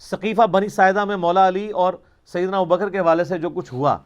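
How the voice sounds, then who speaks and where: A man's voice: high-pitched, fairly even intonation, a little fast, muffled recording. A man delivering an argumentative religious lecture in a mocking, rhetorical way, quoting narrations as he goes. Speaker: religious teacher answering questions.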